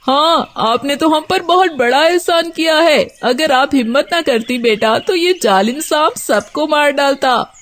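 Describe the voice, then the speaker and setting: Woman as a slow-talking, earnest father crow. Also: sits high. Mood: grateful. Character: caring, honest father crow of a bird family. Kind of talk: children's cartoon story acting.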